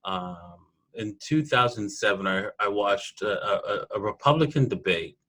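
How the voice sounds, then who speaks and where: A man, telling personal stories in a casual interview in an animated way. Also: on the low side, measured pace. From Toronto, Canada. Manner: animated storyteller, laughs often. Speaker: podcast guest, programmer.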